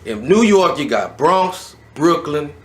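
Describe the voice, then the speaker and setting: A man hosting a casual interview, talking in an animated way and building his questions out loud. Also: a little high, slow. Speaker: interviewer, show host.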